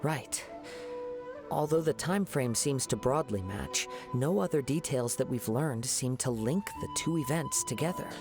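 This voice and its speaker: An actor playing a calm, poetic young wandering swordsman in a video game, speaking in a measured, thoughtful way. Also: medium pitch. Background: game music.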